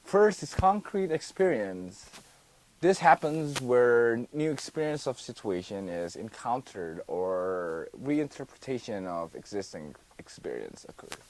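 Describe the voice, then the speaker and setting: A young man from Indonesia, a student guest on a mock TV interview, explaining his course in a careful, halting way with frequent restarts. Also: medium pitch, sing-song, slight background noise, poor audio.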